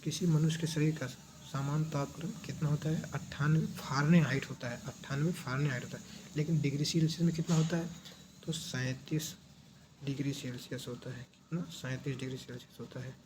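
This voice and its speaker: A man's voice: a little high, steady tone, a little fast, noisy background. A man teaching an exam revision lesson in question-and-answer form, didactic, asking questions and repeating the answers.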